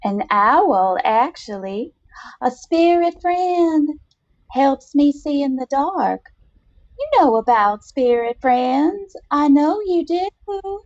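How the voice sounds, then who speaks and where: A woman acts a forty-year-old high society dame in an audio story. She is wry and knowing, speaking slowly in a high-pitched society voice, on a slightly muffled recording. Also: lively intonation, a little mellow. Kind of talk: dramatic short story reading.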